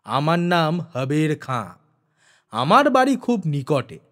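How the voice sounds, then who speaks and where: A dignified old elder from a storybook, voiced by a man. He speaks in a measured, authoritative way, protective and sure of himself. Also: a little high, sing-song, fairly bright tone, heavily acted. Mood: calm, reassuring.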